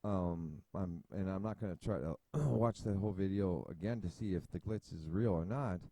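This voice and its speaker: A man's voice: sits low, normal speed, dark tone, no room sound. A man hosting a casual chess analysis video, thinking aloud at his computer with many fillers and restarts. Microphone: desk mic at a computer.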